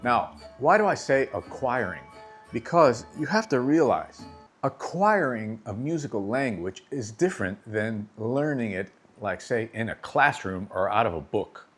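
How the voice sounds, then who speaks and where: A man teaching drumming in a relaxed, conversational way, asking rhetorical questions and checking in with his listeners. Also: mid-range voice, measured pace, husky, soft background music. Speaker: drum teacher. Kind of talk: instructional talk to camera.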